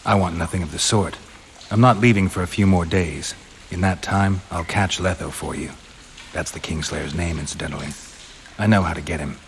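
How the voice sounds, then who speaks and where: World-weary monster hunter, very low voice, terse, dry, video game cutscene, clear rain noise behind. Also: male voice, normal speed. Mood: resolute. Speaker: voice actor.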